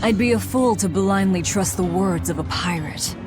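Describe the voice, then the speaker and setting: A woman's voice: mid-range voice, normal speed, lightly acted. A woman playing a cold, distrustful demon leader in a video game, with a terse, imperious delivery. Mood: suspicious. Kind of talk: English video game dub acting. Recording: loud music behind voice.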